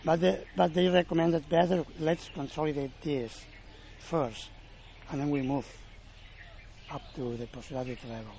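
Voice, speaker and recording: A man from Spain, answering audience questions in an informal presentation, often pausing and correcting himself. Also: fairly high pitch, fairly even intonation, gravelly, darkish tone, slight background noise. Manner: halting, self-correcting, explanatory. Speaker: college representative.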